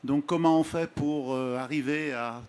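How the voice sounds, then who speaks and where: A man's voice: on the high side, fairly even intonation, unhurried, a little mellow. A middle-aged man, a mayor, speaking spontaneously from the floor at a conference, hesitating and repeating words as he searches. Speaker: small-town mayor. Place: conference room.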